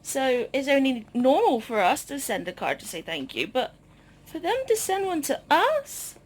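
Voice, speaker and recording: A woman hosting a casual vlog, chatting informally and haltingly to the camera. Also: high voice, sing-song. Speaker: vlog host.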